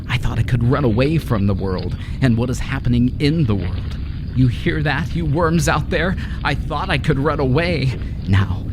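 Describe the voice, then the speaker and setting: Slow, haunted, terrified man played by an actor. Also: mid-range voice, gravelly. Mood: bitter, resigned despair. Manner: anguished, halting, melodramatic monologue, dropping into whispers. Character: terrified, guilt-ridden man tormented by madness and doom. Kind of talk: old-time radio horror drama acting. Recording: heavy noise under the voice.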